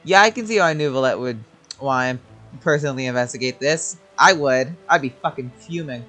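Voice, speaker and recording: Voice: mid-range voice, fairly quick, pushed and bright, soft background music. A streamer giving casual, profane, blunt and opinionated reactions to a game. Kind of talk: casual, profane gameplay commentary.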